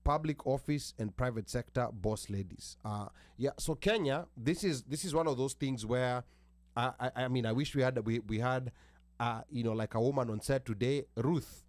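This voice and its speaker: Man, American accent, talk-show host, halting delivery, middle pitch, dry close sound. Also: a little mellow, no room sound. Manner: halting, many restarts, reads from notes. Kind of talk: casual panel talk show.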